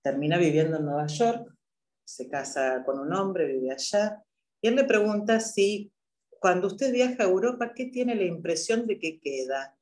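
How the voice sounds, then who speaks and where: A woman moderating a public event, speaking in a measured way with hesitant filler pauses. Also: lowish voice, expressive, a little mellow. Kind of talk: introductory talk at a public event. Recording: echoey room.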